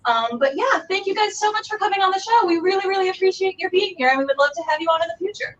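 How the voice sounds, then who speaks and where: A woman's voice: very high voice, animated, quick pace, recorded from a distance, muffled recording. A woman hosting a casual livestreamed show, chatting and making announcements in a gushing, effusive way. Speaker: show host.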